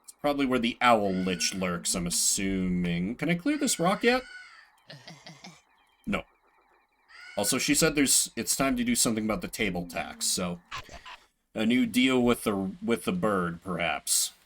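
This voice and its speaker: A man's voice: lowish voice, very animated, measured pace, pauses often, fairly bright tone, slight background noise. A man giving casual, wry, joking commentary on a game he plays, reading its text aloud as he goes. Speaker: video game streamer.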